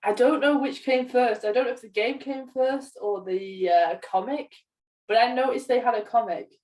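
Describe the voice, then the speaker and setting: A woman's voice: on the high side, normal speed, a little mellow. A woman co-hosting a podcast, chatting casually about games, recorded at some distance from the microphone. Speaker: podcast co-host. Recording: distant from the mic.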